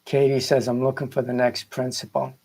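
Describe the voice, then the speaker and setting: A man's voice: medium pitch, little pitch movement, brisk, darkish tone, slightly rough. A middle-aged or older man with a Boston accent speaks casually and earnestly as a spiritual coach on a livestream, rambling and repeating phrases for emphasis. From Boston area, United States. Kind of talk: casual motivational livestream talk.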